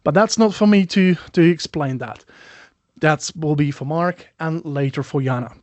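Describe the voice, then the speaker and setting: A man's voice: fairly high pitch, normal speed, darkish tone, dry recording, lo-fi sound. Chatty non-native English-speaking man presenting. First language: not English. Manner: chatty, self-deprecating, many "you know" fillers. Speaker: webinar presenter. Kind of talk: conversational product presentation.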